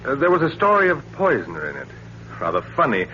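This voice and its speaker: An actor playing an uneasy, suspicious husband who probes yet stays affectionate, in 1940s radio thriller style. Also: male voice, mid-range voice, wildly swooping pitch, fast, pushed and bright. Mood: uneasy, probing. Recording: dull old radio recording with hum.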